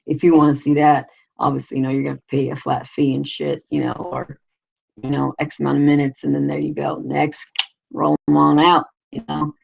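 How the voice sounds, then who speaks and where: A woman with a low, raspy voice hosting a casual live video show. She rambles crudely and leans on constant "you know" fillers. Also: little pitch movement, brisk, highs cut off. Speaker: vlogger, show host.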